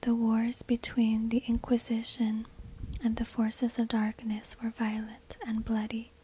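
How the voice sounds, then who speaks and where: A woman reading a scripted tale as ASMR narration in a breathy, unvoiced whisper, close to a binaural microphone. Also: fairly high pitch, completely flat delivery, unhurried, dark tone, a little hiss or hum, poor audio.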